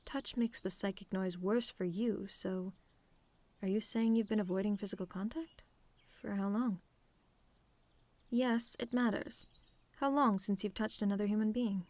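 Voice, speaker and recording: A woman playing a telepathic villainess in an ASMR roleplay, sardonic and theatrical, menacing with a tender streak, in deliberate phrasing. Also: medium pitch, steady tone, a little mellow, no echo, natural voice. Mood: intent, reassuring. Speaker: audio roleplay performer.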